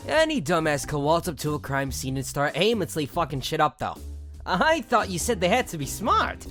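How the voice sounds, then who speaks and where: A woman's voice: low-pitched, sing-song, moderate pace, fairly bright tone, soft background music. A woman voicing a brash, cocky slacker in an audio drama, slangy and irreverent. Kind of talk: fan audio drama acting.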